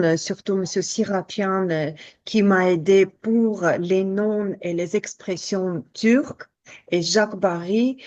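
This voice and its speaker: A woman's voice: fairly low pitch, a little mellow, lo-fi sound. A woman from Armenia, a native Armenian speaker, talking carefully as a guest on a radio show, with a light laugh now and then. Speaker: translator.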